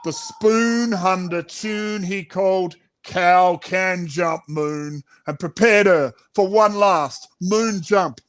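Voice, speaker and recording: Middle-aged Australian male children's author, high-pitched voice, playful and theatrical storyteller. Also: slow pace, few pauses, a bit bright, clear, resonant tone. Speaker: children's author reading his own book. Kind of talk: animated picture-book read-aloud and chat.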